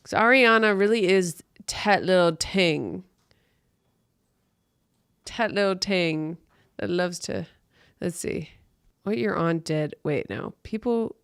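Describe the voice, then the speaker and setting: A woman comedian with a smooth, clear voice delivers irreverent commentary as a podcast host. She is blunt and exaggerated, takes her time over each line, and sounds close and dry. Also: fairly low pitch, unhurried, pauses often, no room sound. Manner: blunt, sweary, exaggerated, breaking into mock voices.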